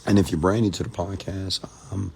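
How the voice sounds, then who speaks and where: A hoarse man from Texas talks late at night on a livestream, his voice very low with a gravelly fry. He repeats himself and calls out viewers by name in a motivational tone. A faint hiss sits under the recording. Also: steady tone, fairly quick, a little mellow. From Texas, USA. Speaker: entrepreneur hosting a live stream.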